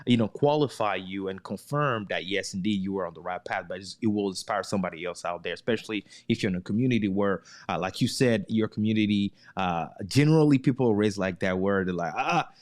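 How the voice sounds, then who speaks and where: A man's voice: lowish voice, quick pace, hardly any pauses. A man hosting an interview show, upbeat and encouraging, often ending his points with a tag question. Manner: enthusiastic, frequent tag questions. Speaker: podcast host.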